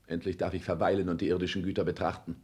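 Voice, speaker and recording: A man's voice: deep voice, little pitch movement, racing, a little mellow, lightly acted. An actor in a 1960s German radio drama playing an arrogant, ambitious self-made man with a declamatory, commanding delivery. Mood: cold, imperious. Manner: declamatory, haughty, clipped commands. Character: arrogant, ambitious self-made rich son, cold and imperious.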